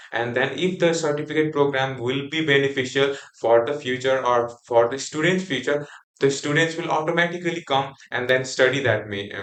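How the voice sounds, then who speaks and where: A man's voice: mid-range voice, a bit bright. A young man from Nepal, a native Nepali speaker with a Nepali accent, giving a class group presentation with many fillers and restarts. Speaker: student presenter. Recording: echoey room.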